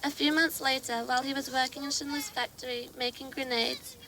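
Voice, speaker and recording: High, very bright woman reading evenly. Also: steady tone, brisk. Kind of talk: prepared narrative reading. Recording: faint ambient noise.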